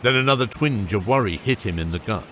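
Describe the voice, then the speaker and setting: A man's voice: lowish voice, expressive, brisk, slight background noise. A man narrating a scripted fantasy audiobook. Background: loud music.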